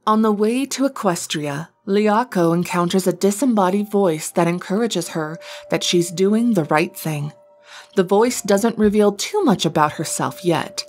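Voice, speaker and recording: A woman's voice: mid-range voice, a little slow, a little mellow. A woman reading a scripted narration that recaps a fantasy story. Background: background music.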